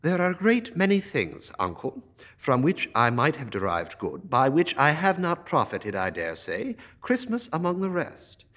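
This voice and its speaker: Man voicing a cheerful young nephew, pitched well above his own voice, earnest and pleading. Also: wildly swooping pitch, measured pace, a bit bright, full character voice. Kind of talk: storybook character reading.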